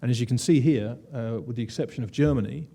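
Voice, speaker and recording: A man's voice: medium pitch, fast talker, creaky, warm tone. A man presenting slides at a conference, wry and conversational, with frequent "uh" fillers. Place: conference room.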